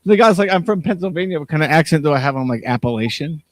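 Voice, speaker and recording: A man's voice: fairly high pitch, lively intonation, racing, no echo. A man with a Midwestern accent co-hosting a casual beer chat, telling stories and laughing easily. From Midwestern United States. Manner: chatty storyteller, laughs often. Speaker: beer show co-host.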